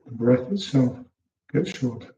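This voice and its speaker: A very soft-edged, dark-toned man guides a meditation in a calm, unhurried way, with short instructing phrases. His voice sits at an ordinary male pitch and sounds distant from the microphone. The recording is dull and echoes with the room. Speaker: meditation teacher.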